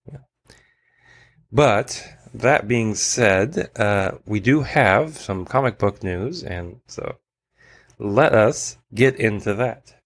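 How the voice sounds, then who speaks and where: A man's voice: lowish voice, animated, slow. A congested man hosting a comic book news podcast, chatting casually with lots of fillers and restarts. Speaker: podcast host.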